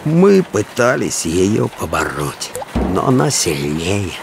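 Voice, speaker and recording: A man voicing an eager, naive, good-hearted male dog in an animated cartoon, with an excitable, guileless manner. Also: sits low, slow, gravelly, over music, heavily acted. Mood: dejected.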